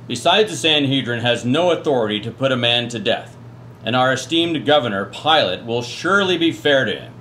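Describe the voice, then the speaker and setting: Man, judge role, formal, mid pitch, faint ambience. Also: lively intonation, bright, forceful tone, slight background noise. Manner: slow, formal pronouncements. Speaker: judge in a church radio play. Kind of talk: church radio play acting.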